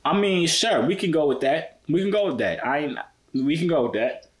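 A man's voice: fairly high pitch, lively intonation, normal speed. A man in his twenties with an American accent chatting casually in slang, playful and teasing. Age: 20s. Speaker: vlogger chatting with strangers online.

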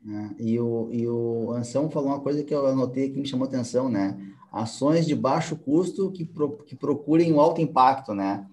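A man in his forties with an ordinary mid-pitched voice, hosting a live webinar over a headset. He recaps the guests and opens the floor, often closing phrases with a tag question. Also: normal speed, a little mellow, a little hiss or hum. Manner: tag questions, inviting questions from viewers. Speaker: live show host. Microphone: headset over video call.

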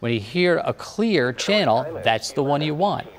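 A man working as a TV meteorologist, calmly explaining a device one step at a time. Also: a little high, measured pace, in a large hall, crowd noise. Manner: plain, patient instructive talk. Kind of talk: step-by-step demonstration. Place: TV studio weather set.

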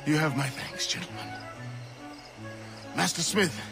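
An actor in a video game playing a dying, dignified gentleman leader with formal, courtly phrasing. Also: on the high side, animated, unhurried, vocal fry, over music. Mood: courteous, weary. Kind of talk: dramatic video game acting.

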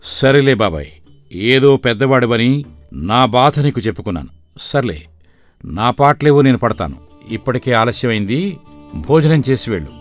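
A man playing a weary, worried but shrewd middle-aged village father in an animated moral story, speaking plainly and earnestly. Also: medium pitch, normal speed, pauses often, no echo, lightly acted. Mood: weary, regretful. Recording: background music throughout.

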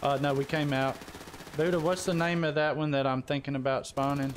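A man's voice: a little high, flat delivery, fairly quick. A man chatting casually and saltily as he streams a video game. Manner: folksy, salty-tongued. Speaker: live-streaming gamer. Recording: faint game gunfire noise. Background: video game gunfire.

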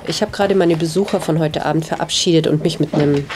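A woman's voice: sits low, fast talker, noisy background, natural voice. A woman playing a choosy, forthright online chatter in a casual audio drama. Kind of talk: audio drama, reading chat messages as casual dialogue.

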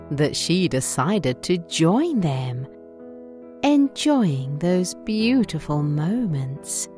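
A woman narrating a children's bedtime story in a gentle, measured, scripted way. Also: low voice.

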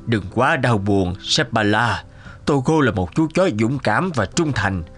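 An actor voicing a solemn, sympathetic town doctor in a cartoon, speaking in a slow, grave, consoling way. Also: male voice, mid-range voice, expressive, faint music behind. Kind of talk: animated cartoon dubbing.

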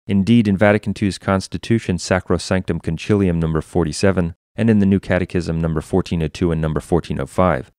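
A man's voice: sits low, darkish tone, no room sound, studio quality. A man reading a scripted religious polemic as narrator, in a formal, emphatic and declarative manner.

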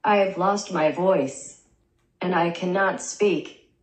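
A synthetic woman's voice reading a young vlogger's lines in a deadpan way, heard in a small bedroom through the camera mic. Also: on the low side, slow, reverberant, poor audio. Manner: deadpan, dry jokes. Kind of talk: text-to-speech vlog narration.

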